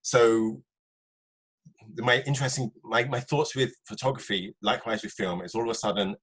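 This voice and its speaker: Husky-voiced man hosting a casual interview. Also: fairly low pitch, steady tone, normal speed, fairly bright tone. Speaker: podcast host and interviewer.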